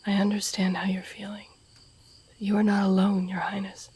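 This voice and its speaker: A woman playing a devoted, courteous masked assassin and royal guard in an audio roleplay, speaking in hushed, breathy, formal tones. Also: medium pitch, completely flat delivery, moderate pace, slight background noise, lightly acted. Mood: earnest, admiring. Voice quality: breathy, husky. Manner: formal, deferential, hushed speech. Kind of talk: intimate audio roleplay acting.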